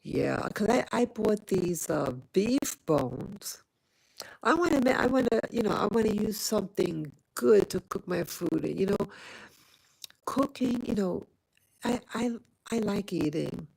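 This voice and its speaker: A woman's voice: mid-range voice, animated, measured pace, gravelly, darkish tone, poor audio. A woman from New York City chatting casually to her viewers, rambling with many fillers and restarts. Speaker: vlogger. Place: home in Rwanda.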